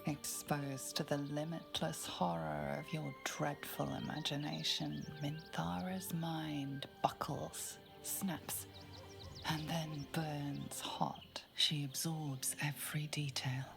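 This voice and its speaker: Dark fantasy game narrator, hushed, super gravelly and slow, music behind. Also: female voice, deep voice, level tone, exaggerated voice. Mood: ominous. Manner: slow, deliberate, dramatic. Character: ominous female narrator of dark dreams. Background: dramatic music.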